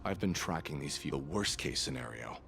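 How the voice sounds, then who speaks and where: A man's voice: extremely low pitch, lively intonation, brisk, gravelly, a bit bright, slight background noise, exaggerated voice. A man voicing a jaded, blunt and cautious guard in a video game, explaining things at length. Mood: blunt, pessimistic. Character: jaded, cautious male guard and messenger, blunt and self-preserving. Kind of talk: video game story voice acting.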